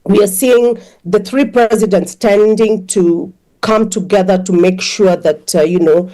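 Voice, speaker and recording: A woman's voice: mid-range voice, fairly even intonation, measured pace, dark tone, low-quality recording. A woman from Kenya speaking as an activist guest in a news interview, earnest and hesitant with many fillers.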